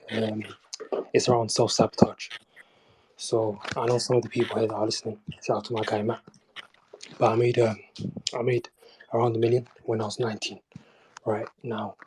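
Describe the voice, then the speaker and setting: A man's voice: on the low side, a little mellow, a little hiss or hum, low-quality recording. A man in his twenties from Somalia, calling in to ask a question and talking hesitantly, with many ums and you-knows. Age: 20s. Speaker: caller.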